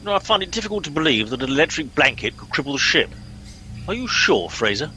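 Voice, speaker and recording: A man playing a pompous middle-aged captain in a comedy radio play, brisk, officious and commanding. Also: a little high, sing-song, bright, forceful tone, slight background noise. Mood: exasperated.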